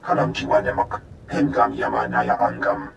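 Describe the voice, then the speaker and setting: An actor voicing a male alien analyst in a video game, speaking guttural invented syllables in short phrases with a gravelly voice. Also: medium pitch, fairly even intonation, moderate pace, slight background noise. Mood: businesslike. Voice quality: gravelly, creaky.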